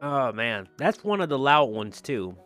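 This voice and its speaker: A man's voice: medium pitch, sing-song, a little slow. Casual man commentating over music. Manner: informal, colloquial, often repeats phrases. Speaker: gaming video creator and host. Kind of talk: casual gameplay commentary, chatty.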